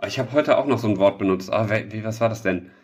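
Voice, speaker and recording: Halting, casual man. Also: fairly low pitch, husky. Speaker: podcast co-host. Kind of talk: casual, rambling chat with a co-host.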